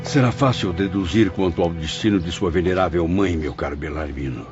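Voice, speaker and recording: A man playing a spirit who narrates and reasons consolingly with a friend, theatrical and measured, in a dramatized audiobook. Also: sits low, lightly acted. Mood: consoling reasoning. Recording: dull lo-fi audio with music behind.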